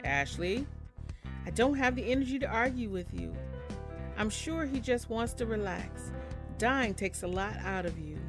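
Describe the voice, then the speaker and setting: A woman's voice: fairly high pitch, lively intonation, measured pace, over music. A woman voicing a tired, stern young barista who scolds in a weary tone.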